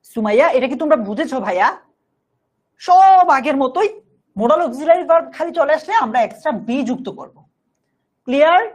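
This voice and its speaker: High-voiced Bangladeshi woman teaching a class. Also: slightly rough. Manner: instructive, repeatedly checking understanding, slowly dictating example sentences. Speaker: online English grammar teacher. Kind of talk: live class teaching, explaining rules step by step with questions to students.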